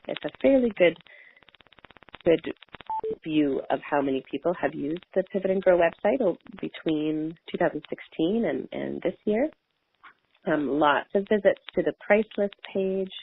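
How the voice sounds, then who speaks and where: A woman speaks over a narrow phone connection with faint noise on the line. She presents report findings informally, like a consultant on a conference call. Her delivery is halting, with words often repeated and rephrased. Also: low voice. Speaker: evaluation consultant presenting results. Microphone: telephone on a conference call.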